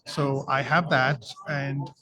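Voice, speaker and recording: A Canadian man co-hosting a live radio show, hoarse and losing his voice, speaking in an easygoing, hesitant way with many fillers. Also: mid-range voice, level tone, takes it slowly, a crowd in the background, rough recording. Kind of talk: casual live radio chat.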